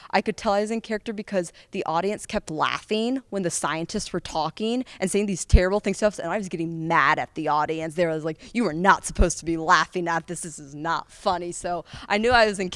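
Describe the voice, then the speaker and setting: Teenage girl, lowish clear voice. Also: a little fast, hardly any pauses, fairly bright tone, no echo. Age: teens. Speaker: student actor being interviewed. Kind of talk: casual interview answer.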